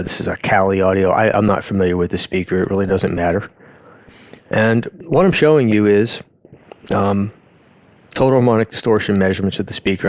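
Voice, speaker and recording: A man with a fairly low voice talks through a technical explanation informally. His delivery is halting and conversational, often doubling back on itself. The recording is dry and somewhat dull, with little room sound. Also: no room sound. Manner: halting, conversational, self-interrupting. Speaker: host of an audio education channel. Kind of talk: informal explainer talk over on-screen graphs.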